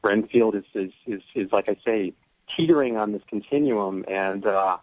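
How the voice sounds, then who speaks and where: A man's voice: on the low side, moderate pace, no echo, low-quality recording. A man talking casually as an actor guest on a radio interview, rambling and repeating words as he searches.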